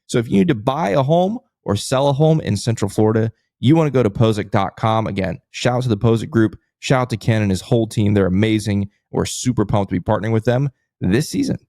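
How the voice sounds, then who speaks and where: A man's voice: lowish voice, quick pace, hardly any pauses, warm tone, no room sound, clean studio sound. A man co-hosting a sports podcast, talking casually and with enthusiasm.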